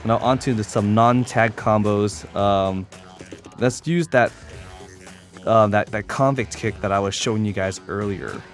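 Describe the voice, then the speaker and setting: Male gamer, lowish voice, informal and a little hesitant, walking through moves as a tutorial over clear background music. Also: unhurried. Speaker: competitive gamer demonstrating a character. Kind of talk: unscripted fighting game tutorial commentary.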